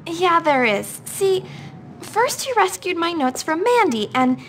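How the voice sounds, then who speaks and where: A woman's voice: very high voice, sing-song, measured pace, a little hiss or hum, heavily acted. A woman playing a needy, lovesick, nerdy teenage schoolgirl in a video game, whiny and melodramatic. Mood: dreamy, smitten.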